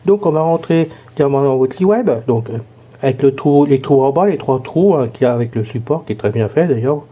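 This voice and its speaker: A hoarse man casually explaining a gadget in a chatty, rambling tutorial. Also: mid-range voice, brisk, dark tone, muffled recording. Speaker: tech tutorial presenter.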